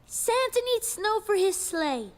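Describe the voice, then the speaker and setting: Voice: very high voice, very animated, a little slow, exaggerated voice. A performer voicing a child reciting a part in a school Christmas play in a cartoon. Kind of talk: scripted children's cartoon acting. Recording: music behind, slightly distant.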